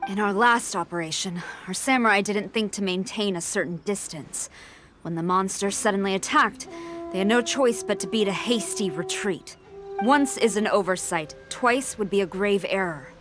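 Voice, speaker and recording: A woman's voice: medium pitch, normal speed, music in the background, lightly acted. A woman voicing a stern, formal female military general in a game, speaking in a clipped, commanding way. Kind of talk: video game cutscene dialogue.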